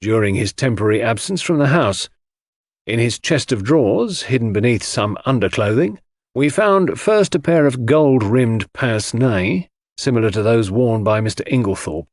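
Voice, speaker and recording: Man as a formal police inspector, husky. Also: medium pitch, animated, moderate pace, no echo, studio quality. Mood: official. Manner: official, precise. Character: formal, succinct male police inspector testifying.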